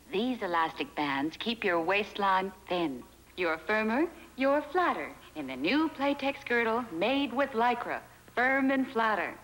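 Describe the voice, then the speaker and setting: A woman's voice: a little high, a bit bright, a little hiss or hum, rough recording. A woman announcing a scripted 1960s television advertisement, on an old soundtrack. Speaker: commercial announcer.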